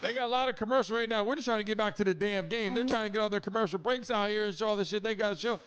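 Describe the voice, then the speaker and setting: A man's voice: sits high, racing, muffled recording, slight background noise. A man hosting a casual live sports watch-along, talking in a hyped, slangy, profane way. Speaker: livestream show host. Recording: loud music behind the voice. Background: loud music and game broadcast.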